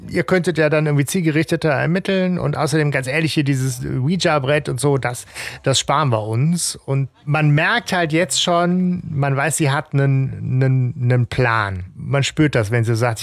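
A man's voice: on the high side, no room sound, clean studio sound. A man hosting a fan podcast who recaps the facts of a radio-play case in casual chat, often tagging his sentences with "ne?". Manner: recaps plot facts with frequent "ne?" and "äh". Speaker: podcast host.

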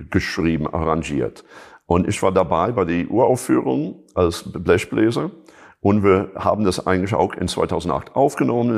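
A middle-aged man from Chicago with an American accent, speaking German in an interview with many pauses and restarts. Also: low-pitched, creaky. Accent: American English. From Chicago, USA. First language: English. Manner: hesitant, many fillers and restarts. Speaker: jazz musician and teacher, guest. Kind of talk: casual interview talk.

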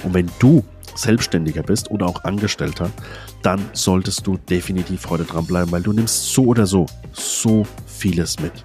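A man hosting an interview podcast, greeting his guests warmly and inviting them to introduce themselves. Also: low-pitched, normal speed, faint music behind. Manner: friendly, addresses guests warmly. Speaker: podcast host.

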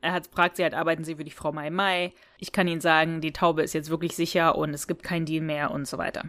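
Chatty woman with a lowish, dry-recorded voice. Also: flat delivery, fast talker, fairly bright tone, no room sound. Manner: chatty, giggly, acting out quoted lines. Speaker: podcast co-host. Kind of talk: casual chat retelling and reviewing an audio drama episode.

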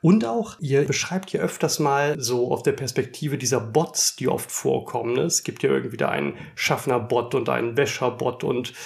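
A man's voice: mid-range voice, big pitch swings, normal speed, vocal fry, few pauses. A man talking as a podcast host in a conversational book discussion, reflective and appreciative in the way he comments.

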